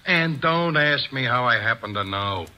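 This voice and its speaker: A gruff streetwise tough guy. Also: medium pitch, animated, unhurried, bright, forceful tone. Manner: blunt, slangy, tough-talking. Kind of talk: 1940s radio acting.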